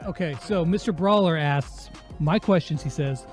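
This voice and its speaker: An easygoing, enthusiastic channel host interviews a guest in a casual, conversational style. Music plays clearly behind the voice. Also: medium pitch, normal speed.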